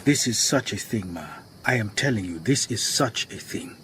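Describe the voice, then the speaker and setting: An actor playing a worried, earnest garage owner in a radio drama, with a Southern African accent, speaking gravely and deliberately. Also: male voice, fairly low pitch, expressive, fairly bright tone, slight background noise. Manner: grave, deliberate, repeats phrases for emphasis.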